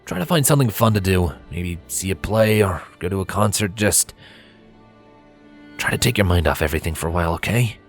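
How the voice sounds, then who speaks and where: A kindly stallion played by a man with a fairly low voice and a casual, reassuring way of talking. It is a storybook character's dialogue, set over faint music. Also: expressive, a little fast. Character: kindly, reassuring male pony, brotherly husband. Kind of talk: fan fiction audiobook character voice.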